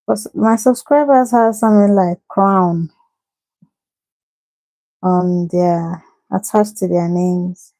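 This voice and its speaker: Slow-talking chatty woman livestreaming. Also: mid-range voice, vocal fry, warm tone, no room sound, poor audio. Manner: chatty and encouraging, greets viewers by name, laughs often. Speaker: livestream host giving visa advice. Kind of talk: casual livestream chat.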